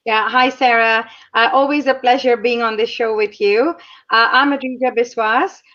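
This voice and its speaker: A woman co-hosts an online talk show, sounding friendly and pausing with many fillers. Her voice sits a little high. The audio is muffled and lo-fi, like a video call. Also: fairly even intonation. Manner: warm and friendly, with frequent "uh" fillers. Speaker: co-host.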